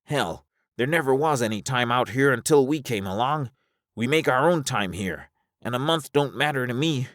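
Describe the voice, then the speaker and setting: A man voicing a weary, philosophical spaceman in a science fiction audiobook, folksy and excitable as he reasons aloud. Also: medium pitch, normal speed, no echo, studio quality, voice pushed up, close to an everyday voice. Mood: weary musing. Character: high-voiced drawling spaceman, weary, resigned, philosophical castaway.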